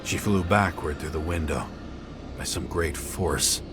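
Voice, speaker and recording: Very deep, world-weary noir detective. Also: male voice, unhurried. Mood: grim, musing. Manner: brooding, sardonic. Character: world-weary, cynical male detective investigating a murder scene. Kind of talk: noir video game voice acting, musing aloud. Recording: loud music behind the voice.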